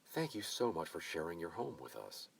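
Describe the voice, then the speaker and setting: A man voicing a young bride who speaks politely and softly. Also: deep voice, huge pitch swings, moderate pace, creaky, breathy edge, full character voice. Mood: grateful. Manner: polite, soft-spoken. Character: young woman, a gentle and grateful bride.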